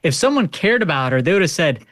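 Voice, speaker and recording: A man's voice: a little high, big pitch swings, quick pace, fairly bright tone, no echo, studio quality. An American man giving casual political commentary as a panelist, emphatic and slangy.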